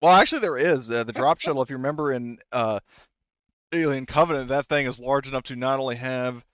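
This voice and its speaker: A man's voice: medium pitch, a little fast, fairly bright tone, smooth, dry recording, dull audio. A man narrating as game master in a casual tabletop session, explaining things in a rambling, hesitant way.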